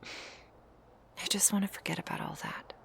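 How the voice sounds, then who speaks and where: A woman playing a drowsy, vulnerable young human woman in a roleplay audio drama, soft and hesitant. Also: measured pace, in a whisper, natural voice. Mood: upset, withdrawn.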